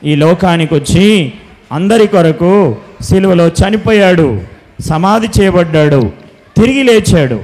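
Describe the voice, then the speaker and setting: High-voiced man hosting a puppet show. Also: darkish tone. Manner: scolding, instructive, addressing children. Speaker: puppet show host at children's Bible school. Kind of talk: scripted puppet show dialogue with simple preaching.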